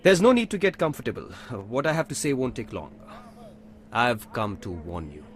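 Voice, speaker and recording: A mid-pitched man as a stern radio-play inspector. He talks curtly with sardonic menace and a lively, varied delivery, over faint ambient noise. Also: moderate pace. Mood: stern, warning. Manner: curt, warning, sardonic. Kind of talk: radio drama acting.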